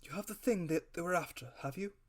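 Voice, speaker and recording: A man voicing a calm, genial gentleman doctor in a storybook reading, measured and courteous. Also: a little high, pitched above the performer's own voice, lightly acted.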